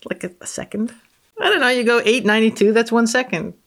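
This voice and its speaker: A woman's voice: on the high side, quick pace. A woman guesting on a podcast as a physicist, reading aloud and commenting casually in an offhand, matter-of-fact way.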